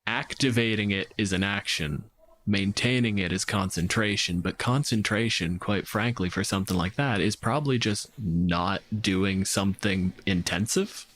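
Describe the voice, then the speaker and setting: A man with a lowish voice talks in a casual panel discussion on tabletop games. He thinks aloud and works through each idea analytically. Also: moderate pace, fairly bright tone.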